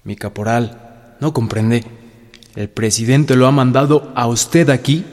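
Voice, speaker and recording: An actor playing a reassuring gentleman politician in a historical radio drama, speaking in a measured, soothing way. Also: mid-range voice, close to an everyday voice. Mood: reassuring, regretful. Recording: long hall reverb, music underneath.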